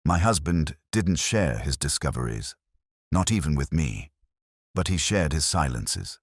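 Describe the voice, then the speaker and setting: A man voicing a formal, restrained grieving widow in a mystery story, speaking calmly and carefully. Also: extremely low pitch, a little slow, breathy edge, dry recording, clean studio sound, heavily acted. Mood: resigned.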